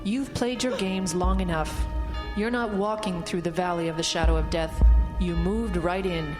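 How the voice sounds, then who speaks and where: An actress playing a knowing, stern female ghost in a horror audio drama, measured and accusing. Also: on the low side, steady tone, over music, close to an everyday voice. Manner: measured, accusing, coolly certain.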